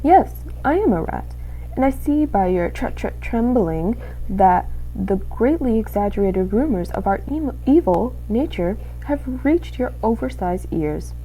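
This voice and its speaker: Woman playing cruel sly male rat, storybook villain, mock-polite, insinuating, mellow, very lively intonation. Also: on the high side, a little hiss or hum, low-quality recording. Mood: oily, mock-friendly. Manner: falsely courteous, drawn-out, insinuating.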